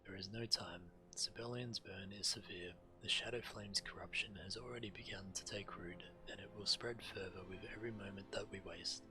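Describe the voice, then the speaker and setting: An actor playing a grave, calculating dragon strategist in a fantasy game, speaking formally with measured brooding. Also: male voice, on the low side, steady tone, pushed and bright, slight background noise. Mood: grave, urgent. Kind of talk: dramatic fantasy game dialogue.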